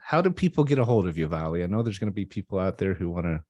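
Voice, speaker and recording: A man's voice: sits low, sing-song, racing, warm tone, dry recording. A man hosting a talk radio interview over a video call, upbeat and affirming, often checking in with "right?". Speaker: radio show host.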